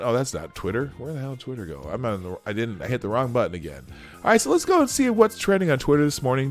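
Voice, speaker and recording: A man hosting a casual livestream, rambling and correcting himself as he reads comments aloud. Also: mid-range voice. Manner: rambling, self-correcting, chuckling. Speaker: livestream host. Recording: background music under voice.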